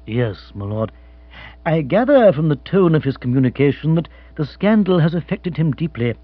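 A man voicing a grave, formal valet who speaks in a measured, deferential way. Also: fairly high pitch, very animated, no echo, dull audio. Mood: apologetic, grave.

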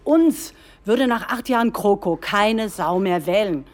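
An actress playing a self-assured, scheming party leader who persuades and placates in a satirical radio play. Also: female voice, medium pitch, lively intonation, a little slow, lightly acted. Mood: scheming, reassuring.

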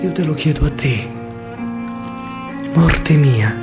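A man's voice: fairly high pitch, takes it slowly, music in the background. A male solo stage actor with a Roman accent, telling a story as a dramatic, lyrical verse monologue in dialect. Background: live musical accompaniment.

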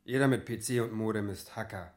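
A man playing a gruff, curt and crude young computer hacker in a radio play. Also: on the low side, fairly quick, natural voice. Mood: curt, dismissive. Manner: curt, dismissive.

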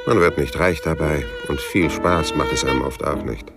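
An actor playing a world-weary, wry private detective in a noir radio play, with a laconic, dry, gravelly delivery. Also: male voice, extremely low pitch, moderate pace. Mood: weary, reflective. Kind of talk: hardboiled noir radio drama acting and narration. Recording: studio radio play with music underneath.

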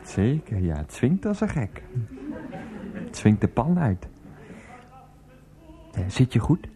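An actor playing an aggrieved, sarcastic former schoolteacher who grumbles defensively in a comic radio play. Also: male voice, medium pitch, big pitch swings, slow pace, mellow. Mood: grumbling. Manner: defensive, repetitive, indignant muttering with filler phrases. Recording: music behind the voice.

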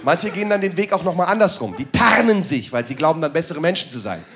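High, animated male comedian. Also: quick pace. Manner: crude, sardonic, shifts into ranting. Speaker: stand-up comedian. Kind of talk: provocative stand-up monologue.

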